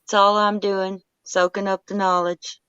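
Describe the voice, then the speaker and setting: A woman's voice: mid-range voice, flat delivery, unhurried, fairly bright tone, clear, resonant tone, no room sound, muffled recording. A woman chatting casually in short interjections.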